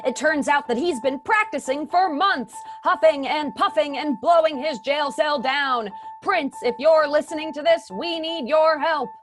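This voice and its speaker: A woman's voice: high-pitched, measured pace, few pauses, a bit bright, faint music behind, pitched above the performer's own voice. A woman playing a radio newscaster reading an urgent bulletin.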